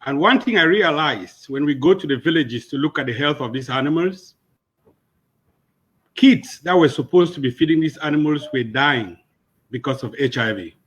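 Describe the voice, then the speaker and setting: A man, a veterinarian, introducing himself on a panel with steady storytelling and some hesitations. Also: a little high, moderate pace, husky, highs cut off. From Cameroon.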